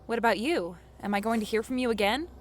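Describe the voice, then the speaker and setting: A woman's voice: fairly high pitch, quick pace, heavy background noise, close to an everyday voice. An actress playing a young woman protagonist in an audio drama, shaken but determined. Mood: resolved, hopeful. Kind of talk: audio fiction drama acting.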